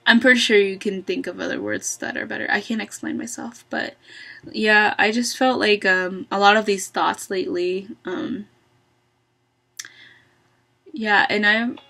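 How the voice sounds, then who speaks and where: A young woman talking to her webcam in a small bedroom, thinking aloud with many pauses and ums. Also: fairly even intonation, creaky, sharp tone. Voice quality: low-voiced for a woman, by her own account. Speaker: vlogger. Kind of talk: reflective casual monologue. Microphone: webcam at close range.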